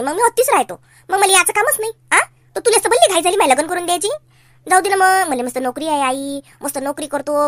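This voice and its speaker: A woman's voice: high voice, fairly bright tone, no room sound. A woman playing a pleading, stubborn young daughter in a cartoon comedy, with a Varhadi Marathi accent and a whiny, argumentative manner.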